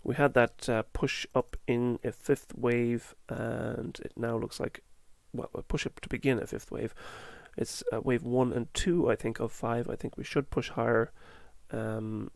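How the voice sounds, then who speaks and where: A man losing his voice, talking through market charts in a halting way, with many fillers and self-corrections. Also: mid-range voice, little pitch movement, gravelly, dry recording. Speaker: market analyst. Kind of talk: chart walkthrough.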